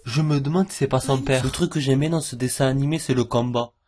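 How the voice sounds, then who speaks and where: A man's voice: medium pitch, quick pace, warm tone. A man hosting an informal cartoon review, with a jokey, mocking and slangy way of talking. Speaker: video host. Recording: voice-over with music behind. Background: music.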